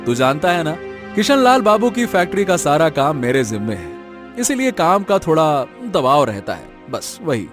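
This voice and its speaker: A man's voice: fairly high pitch, very animated, moderate pace, music in the background. A man playing a busy, kindly factory worker in a cartoon, placating and friendly.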